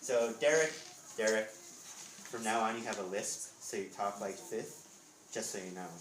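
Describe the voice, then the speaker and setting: Guy reading his book out loud with chatty asides, roomy echo, mic kinda far off. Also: on the low side, animated, normal speed. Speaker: author reading from his book at a school readers theatre. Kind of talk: expressive storybook reading with casual asides. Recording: echoey room, distant mic, background noise.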